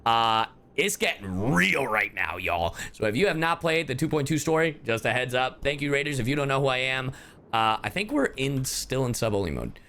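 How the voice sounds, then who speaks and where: A man's voice: medium pitch, big pitch swings, moderate pace, bright, forceful tone. A man live-streaming a game reaction, chatting casually to his viewers as host with slang and some swearing. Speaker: streamer and voice actor.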